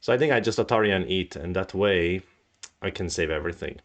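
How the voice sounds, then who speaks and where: A man's voice: deep voice, a little fast. A man teaching go on a live stream, thinking aloud in a casual, musing way with a slightly stuffy nose. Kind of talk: live-stream commentary while solving go problems.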